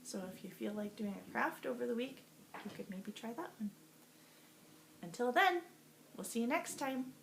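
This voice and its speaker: A woman teacher reading a storybook aloud to young children in an expressive, sing-song way, asking them questions as she goes. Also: a little high, moderate pace, gravelly, pauses often. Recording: roomy echo with faint background noise.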